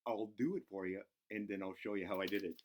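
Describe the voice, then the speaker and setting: A man voicing an excitable, goofy buzzard who hosts a children's show, playful and giggly as he talks to himself and to the kids. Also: fairly low pitch, fast talker, lightly acted. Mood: playful, encouraging. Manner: giggly, self-interrupting, talks to himself in third person. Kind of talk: playful craft demonstration for children.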